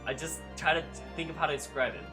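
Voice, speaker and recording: A woman's voice: sits low, fast talker. A woman giving casual commentary on a game stream. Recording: music under the voice.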